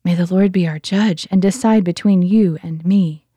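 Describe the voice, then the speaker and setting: A woman's voice: lowish voice, moderate pace, warm tone, slightly rough, no room sound. Woman voicing a young male outlaw, earnest, pleading, raised voice, dry close sound.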